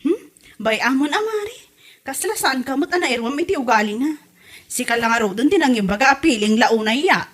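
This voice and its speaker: A woman playing a gossipy, chatty village neighbour in a comedy audio drama, in an animated manner. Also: high voice, a little fast. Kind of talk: comedy radio drama acting.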